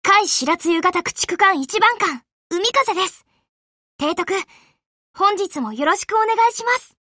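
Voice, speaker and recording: A gentle young warship girl from an anime game, devoted and courteous to her admiral. Her voice is extremely high and bright. She speaks at an unhurried pace with a polite, deferential manner. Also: expressive, no echo, studio quality, full character voice. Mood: polite, composed. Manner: soft-spoken, courteous, deferential. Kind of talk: Japanese anime game voice acting.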